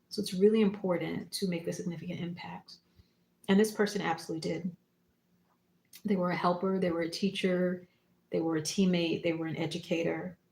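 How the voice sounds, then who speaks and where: A woman hosting a spiritual livestream, talking casually and reflectively in short phrases and gentle prompts with pauses. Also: on the low side. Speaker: psychic medium and spiritual teacher hosting a livestream. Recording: roomy, echoing room sound.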